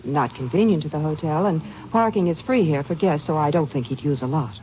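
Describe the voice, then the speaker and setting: An actor playing a helpful hotel garage attendant who talks hesitantly, in a 1950s radio drama. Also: male voice, a little high, animated, fairly quick, slight background noise, close to an everyday voice. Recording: dull old radio recording with music.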